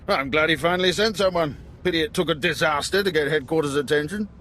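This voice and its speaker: An actor playing an older, seasoned station chief in a video game, unhurried and avuncular. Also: male voice, a little high, a bit bright, a little hiss or hum, close to an everyday voice. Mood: genial, weary. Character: seasoned older male Imperial intelligence station chief, genial, measured. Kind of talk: video game dialogue acting.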